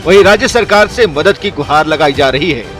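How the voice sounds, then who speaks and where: A man's voice: fairly high pitch, expressive, moderate pace, loud music behind the voice. A man reading scripted television news reports as a voice-over presenter.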